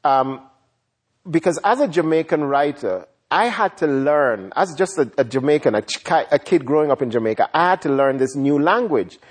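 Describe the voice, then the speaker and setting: Husky writer guy thinking out loud, repeats himself a bit, slow and reflective. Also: fairly high pitch, animated. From Ghana. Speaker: writer and guest. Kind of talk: reflective interview answers.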